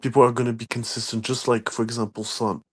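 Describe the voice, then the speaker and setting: A man's voice: medium pitch, little pitch movement, quick pace. A man co-hosting a casual football chat, firm and opinionated.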